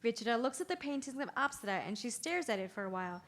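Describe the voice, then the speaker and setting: A woman's voice: a little high, animated, fast, a bit bright. A woman reading stage directions as narrator at a play's table read, in scripted narration.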